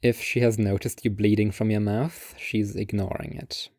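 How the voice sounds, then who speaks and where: Male narrator for literary short fiction, lower-pitched, closely recorded without room sound. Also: flat delivery, normal speed, darkish tone, dry recording, studio quality. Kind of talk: scripted second-person story narration.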